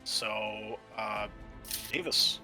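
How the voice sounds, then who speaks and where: A man acts as game master in a bright, fairly low voice. He narrates the tabletop action in halting phrases, pausing mid-sentence, with music playing behind him. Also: poor audio. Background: background music.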